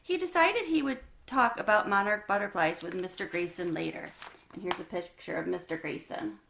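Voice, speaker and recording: Female storyteller for a kids' book, bright lively voice, gravelly edge. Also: lowish voice, moderate pace, a little hiss or hum. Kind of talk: reading a children's book aloud.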